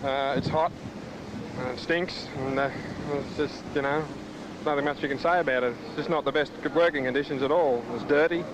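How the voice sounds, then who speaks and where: A man's voice: fairly even intonation, fairly quick. A plain-spoken man with an Australian accent answering matter-of-factly as a rescue worker in a field interview. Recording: noisy outdoor tape, engine rumble.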